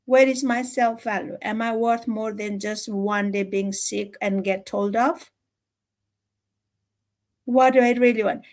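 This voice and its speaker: A woman from Nepal with a Nepali accent, giving an informal motivational talk in an earnest, emphatic, halting way, often repeating phrases. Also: a little high, fairly even intonation, darkish tone. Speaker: livestream speaker.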